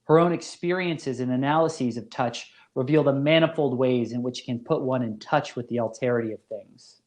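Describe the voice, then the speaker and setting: Man lecturing formally, medium pitch. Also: normal speed, darkish tone. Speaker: philosophy professor, lecturer. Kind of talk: scripted academic lecture.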